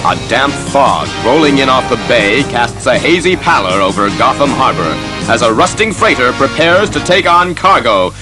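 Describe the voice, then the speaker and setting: A man's voice: medium pitch, big pitch swings, normal speed, loud music behind the voice. A man delivering dramatic scripted narration for a superhero audio drama.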